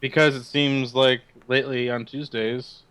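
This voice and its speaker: A man's voice: medium pitch, flat delivery, a little slow, fairly bright tone, clean tone. A man chatting casually as a podcast co-host on an online group call. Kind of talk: casual chat.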